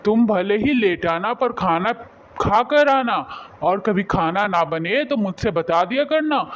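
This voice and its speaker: A man's voice: high voice, expressive, a little slow, a bit bright. A man playing a generous, caring rich village employer in a cartoon moral story, talking in a fatherly, solicitous way. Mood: caring, welcoming. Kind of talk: Hindi animated moral story dialogue.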